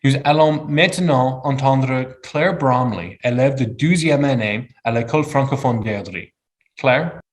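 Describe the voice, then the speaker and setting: A man's voice: medium pitch, measured pace. Formal male emcee. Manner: formal, introducing speakers. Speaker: event host. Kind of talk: ceremony emcee.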